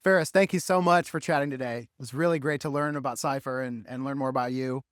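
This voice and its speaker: Host closing a chat, smooth voice, dry sound, friendly. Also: male voice, mid-range voice, fairly even intonation, fast, no room sound. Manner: friendly, polite thanks with fillers. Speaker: interviewer, host. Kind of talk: interview wrap-up.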